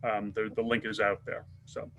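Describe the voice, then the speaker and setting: A man leading a worship group, making halting announcements with repeated words on a video call. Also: lowish voice, monotone, bright, forceful tone. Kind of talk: casual announcements.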